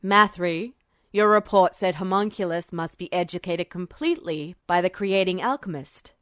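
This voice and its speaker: A woman voicing a stern older male general in an audiobook story, coldly calm, clipped and commanding. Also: fairly low pitch, normal speed, smooth, no room sound, studio quality, voice pushed down, natural voice. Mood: imperious, suspicious.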